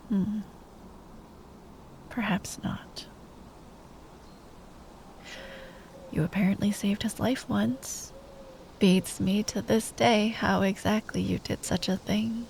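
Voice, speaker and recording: Woman acting a breathy, grieving lady knight, unhurried. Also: mid-range voice, slight background noise, poor audio. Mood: reflective. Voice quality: soft, breathy, often dropping to a whisper. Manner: slow, halting, tender with wry teasing. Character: grieving proud female royal knight at a lover's bedside. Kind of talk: intimate ASMR fantasy roleplay monologue.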